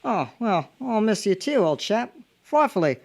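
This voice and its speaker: A chummy little chap of the circus , acted by a woman, pompous and jolly. The phrasing is plummy and old-fashioned, in the manner of a vintage radio serial, at a middling pitch. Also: sing-song, measured pace, a little mellow. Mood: chummy, proud.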